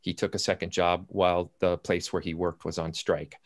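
Man, mature, low voice, playwright on an online panel, storytelling and quoting himself as he goes. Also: flat delivery, moderate pace. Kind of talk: anecdotal panel talk about writing. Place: indoors at home, on a video call. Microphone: computer video-call audio.

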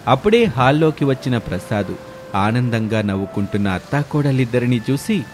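A man narrates a scripted story. His voice sits at an ordinary male pitch. Soft music plays behind him. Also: animated, normal speed.

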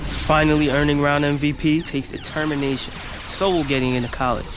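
Man acting an excited high-voiced young gamer. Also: fairly quick, noisy background, natural voice. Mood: determined. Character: excited, determined young male video gamer. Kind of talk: radio public service ad.

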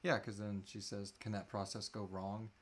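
A man in his thirties with a fairly low voice hosts a relaxed live Q&A. He speaks in a halting way, letting thoughts trail off before picking them up again. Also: slight background noise. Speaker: host answering audience questions. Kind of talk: casual live Q&A chat. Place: small indoor room.

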